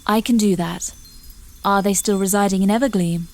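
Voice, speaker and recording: A woman's voice: medium pitch, steady tone, moderate pace, close to an everyday voice. A woman playing a dutiful, practical healer in a fantasy audio drama, composed and earnest.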